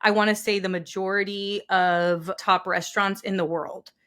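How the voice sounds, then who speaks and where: Bright-voiced woman host, effusive. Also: medium pitch, fairly even intonation, moderate pace. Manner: effusive, gushing praise. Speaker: podcast host. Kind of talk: casual podcast interview.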